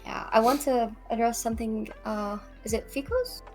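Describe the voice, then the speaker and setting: A woman's voice: a little high, normal speed, darkish tone. Thoughtful young woman from Lebanon over music. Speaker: guest, psychology PhD student. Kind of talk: conversational discussion on a livestream. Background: background music.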